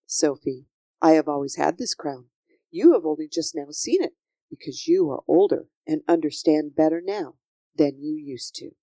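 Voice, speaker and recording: A woman voicing a patient, kindly king in a children's storybook, measured, reassuring and quietly authoritative. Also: sits low, animated, no echo. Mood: solemn, regal. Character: gentle, solemn kingly man, patient and reassuring.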